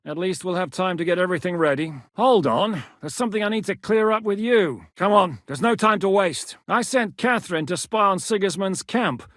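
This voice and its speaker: A middle-aged actor playing a blunt, battle-hardened military commander who gives orders and swears freely, recorded as video game voice lines in a studio booth. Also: male voice, high-pitched, animated, normal speed, few pauses, a bit bright, no room sound. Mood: commanding.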